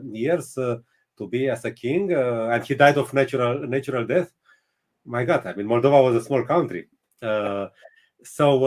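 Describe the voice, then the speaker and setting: A man's voice: mid-range voice, a little mellow. Hesitant man speaking learned English. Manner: hesitant, many fillers and restarts; speaks English as a later language. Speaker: chess guest. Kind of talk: casual interview answers.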